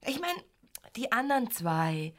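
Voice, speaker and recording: An actress in a radio drama playing an excitable, pleading, dramatic teenage daughter. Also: female voice, fairly high pitch, measured pace. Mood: tentative.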